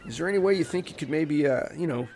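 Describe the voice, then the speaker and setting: An actor playing a hesitant, put-upon castaway sidekick in a comedy audio drama, speaking tentatively. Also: male voice, fairly high pitch, darkish tone, slight background noise, lightly acted. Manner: tentative, halting.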